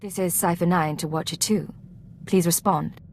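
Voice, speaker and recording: An actress playing a composed, assured female secret agent in a video game, measured and professional. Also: fairly low pitch, steady tone, a little mellow, slight background noise, close to an everyday voice. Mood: brisk.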